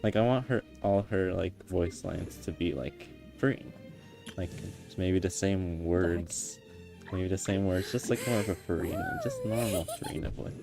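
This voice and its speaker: Male game co-host, very deep dark voice, animated banter, faint music behind. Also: moderate pace, creaky. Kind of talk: casual livestream banter.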